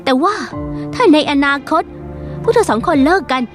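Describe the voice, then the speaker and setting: A woman's voice: high voice, sing-song, normal speed, music in the background. An actress voicing a blunt, fiercely loyal young woman in a dubbed drama, talking in a heated, scolding way. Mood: cold.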